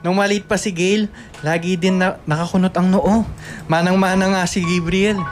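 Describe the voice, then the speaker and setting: Man acting playful affectionate grandfather, Filipino accent, radio drama, clearly audible music behind. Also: high-pitched, flat delivery, normal speed, close to an everyday voice. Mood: fond. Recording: clear music under dialogue.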